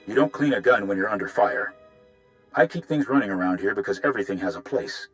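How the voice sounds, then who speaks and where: Casting: male actor as proud, gruff sci-fi warrior for a game, deep register, expressive swings, plain-spoken and formal. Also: soft background music, lightly acted. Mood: blunt, appraising. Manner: blunt, formal, measured. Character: gruff, loyal, blunt Mandalorian warrior man, clan enforcer.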